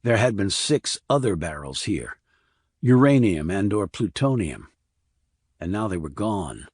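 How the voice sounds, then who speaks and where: A man's voice: fairly low pitch, very animated, a little slow, a little mellow, husky, dry recording, studio quality. A man narrating a crime thriller audiobook as a scripted reading.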